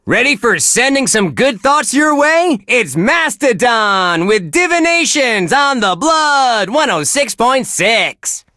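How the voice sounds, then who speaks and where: A bright, forceful radio DJ, bursts with wholesome cheer. He gushes in folksy exclamations with big swings of pitch, recorded dry and close, as heard on a game's rock station. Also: sing-song, takes it slowly, few pauses, no room sound, exaggerated voice. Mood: sunny, friendly. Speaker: radio DJ host. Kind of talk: video game radio DJ patter.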